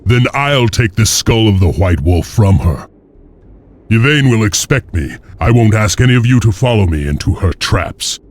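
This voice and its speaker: A man playing a weary, resolute clan lord and hero in a video game, speaking tersely and gravely. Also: lowish voice, lively intonation, moderate pace. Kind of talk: dramatic video game acting.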